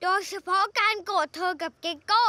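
A woman's voice: very high-pitched, normal speed, heavily acted. A woman voicing an earnest, eager young boy superhero in a children's cartoon dub. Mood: resolute. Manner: eager, heroic. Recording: loud music under the voice.

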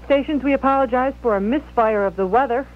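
A woman gives a formal announcement to stations in a slightly high voice. The feed is thin and tinny, like a telephone line. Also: brisk.

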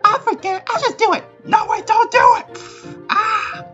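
An excitable little cartoon bee boy, voiced by a man, squeaks high and loud. He is hurried, silly and eager, in an improvised parody style. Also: lo-fi sound, faint music behind, exaggerated voice. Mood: excited. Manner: shouty, hurried.

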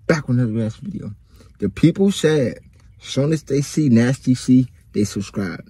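A man's voice: mid-range voice, expressive, normal speed, dark tone, slightly rough, no room sound. Hyped American man hosting reactions. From United States. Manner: hyped, repetitive, slangy, talks straight to viewers. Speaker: reaction video host. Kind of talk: casual reaction commentary.

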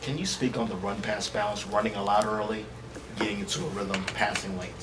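A male reporter asks long, winding questions quickly in a lowish voice. He is picked up from a distance, with clear background noise. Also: steady tone. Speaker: sports reporter. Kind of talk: press conference questions.

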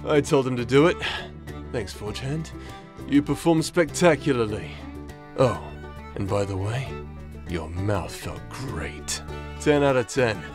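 A man's voice: medium pitch, lively intonation, unhurried, natural voice. Casting: male actor for a cocky rogue paladin, crude and flirty, teasing delivery, audio roleplay, faint music underneath. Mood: gleefully teasing. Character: cocky, vulgar, flirtatious bastard knight paladin, younger brother. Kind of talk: anime-style audio roleplay acting.

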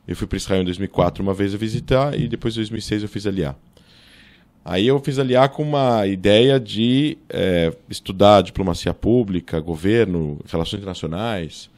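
Deep-voiced Brazilian man telling his story. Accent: Brazilian Portuguese, São Paulo. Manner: fast, animated storytelling with many fillers and self-corrections. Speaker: guest, political scientist. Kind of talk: casual interview, storytelling. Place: small studio with table and monitor. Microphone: boom-arm studio mic.

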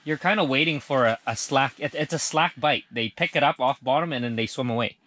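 A man chatting outdoors on a frozen lake, excited, swearing freely and explaining how he caught fish. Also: mid-range voice, fairly quick. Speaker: fishing friend. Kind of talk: casual chat outdoors. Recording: noisy outdoor recording.

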